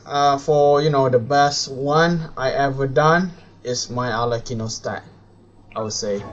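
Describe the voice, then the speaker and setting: Slow-talking man live streaming a game. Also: mid-range voice, a bit bright. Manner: hesitant, rambling, self-correcting. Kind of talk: casual live stream commentary.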